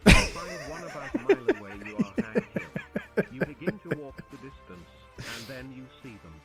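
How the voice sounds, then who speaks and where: A man's voice: mid-range voice, huge pitch swings, normal speed, over music. A man reading second-person text adventure narration aloud as a story narrator.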